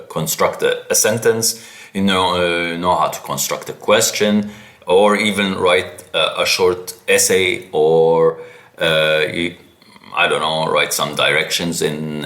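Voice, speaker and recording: A man whose first language is Arabic, hosting a casual solo podcast, haltingly searching for words and repeating them for emphasis. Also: sits low, fairly bright tone. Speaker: podcast host.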